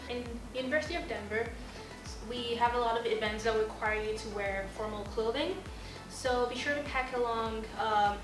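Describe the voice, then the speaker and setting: A young woman from Ghana, an international student, casually explaining what clothes to pack with relaxed, chatty fillers. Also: on the high side. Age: young adult. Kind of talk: casual informational video. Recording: roomy, mic at a distance, music underneath.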